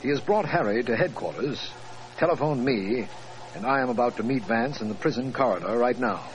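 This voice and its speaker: An actor playing an earnest, formal district attorney in 1940s radio drama style. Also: male voice, mid-range voice, expressive, brisk, lightly acted. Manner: formal, orderly. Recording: noisy 1940s radio recording.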